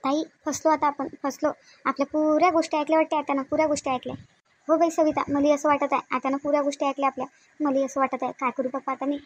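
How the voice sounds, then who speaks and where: Woman playing a very high, placating young daughter-in-law, Vidarbha accent. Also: little pitch movement, fast, no room sound, lo-fi sound, close to an everyday voice. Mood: worried. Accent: Vidarbha Marathi. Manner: placating, apologetic, repeats denials. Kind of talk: cartoon family comedy skit acting.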